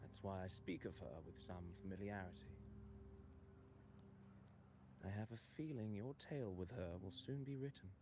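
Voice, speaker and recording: An actor playing an eloquent, composed wandering poet in a fantasy game, with ornate, measured, cryptic speech. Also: male voice, low voice, close to an everyday voice. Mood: calm, knowing. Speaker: traveling poet character. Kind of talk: theatrical fantasy game voice acting. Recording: game music behind voice.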